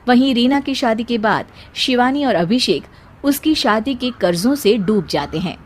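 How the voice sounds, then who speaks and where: Woman, story narrator, scripted cartoon moral tale, higher voice, animated intonation. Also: moderate pace.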